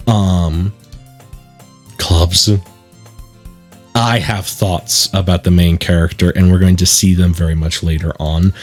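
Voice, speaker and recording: A man talks through a game as its host in a chatty, explanatory way. His voice sits on the low side and swings around in pitch, with soft game music behind him. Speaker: let's play host. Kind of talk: casual gaming commentary and reading aloud.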